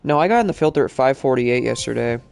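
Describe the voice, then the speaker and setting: Congested male streamer with gravelly voice. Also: mid-range voice, expressive, quick pace, darkish tone. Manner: casual, rambling, many fillers. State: congested from allergies. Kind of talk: live-stream gaming chat.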